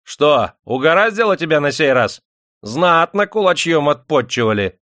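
Man acting an excitable, bellowing factory master. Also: on the high side, moderate pace, dry recording, clean studio sound, pitched above the performer's own voice. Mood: triumphant, mocking. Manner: bellowing, crowing exclamations. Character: excitable, domineering 18th-century male factory owner, gleefully cruel. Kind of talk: dramatised audiobook character voice.

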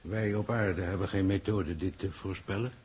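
A man's voice: low voice, fairly even intonation, moderate pace, darkish tone, slightly rough. An actor playing a troubled male official in a 1960s Dutch radio drama, hesitant and pensive as he questions experts.

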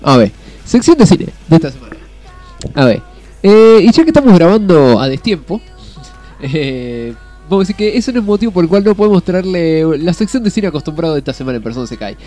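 Argentine man, rioplatense accent, casual film-review host, laughs a lot, slightly high voice, faint music behind. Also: big pitch swings, brisk, dark tone. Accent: Rioplatense Spanish. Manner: laughs often, filler-heavy. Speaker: podcast co-host presenting a film segment.

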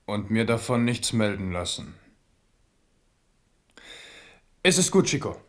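A brooding french king, lowish voice, bitterly amused, old radio play. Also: big pitch swings, normal speed. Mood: probing. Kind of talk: German radio drama acting.

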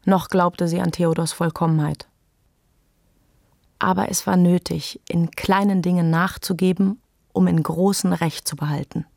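Creaky low-voiced woman narrating. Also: fairly even intonation, measured pace, a little mellow, no room sound, studio quality. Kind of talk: literary scripted narration for a radio play.

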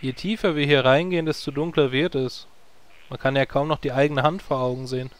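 A man's voice: medium pitch, steady tone, brisk, clear, resonant tone, close to an everyday voice. A man voicing an earnest, long-winded male druid hero in a fan audio drama. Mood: uneasy. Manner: earnest, grandiloquent.

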